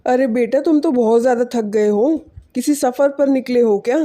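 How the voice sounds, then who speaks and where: A woman portrays an older countryman in an animated fable. The tone is mellow and somewhat high, avuncular and full of helpful concern. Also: normal speed, natural voice. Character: kindly older village man, helpful and concerned. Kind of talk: animated moral story dialogue.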